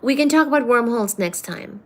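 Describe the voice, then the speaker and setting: A woman's voice: a little high, lively intonation, fairly quick. A woman hosting a livestream, chatting casually and teasingly as she reads out viewer comments. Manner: playful and teasing, calls viewers darling. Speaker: livestream host.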